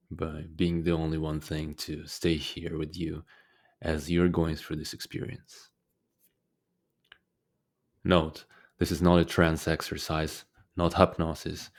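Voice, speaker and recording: A man leading a guided meditation as a teacher, speaking calmly and deliberately with frequent pauses. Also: extremely low pitch.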